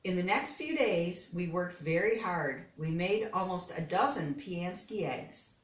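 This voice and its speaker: A woman reading a children's story aloud, pausing to explain words and pictures as she goes. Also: lowish voice, expressive. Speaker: storybook reader. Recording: echoey room, distant microphone.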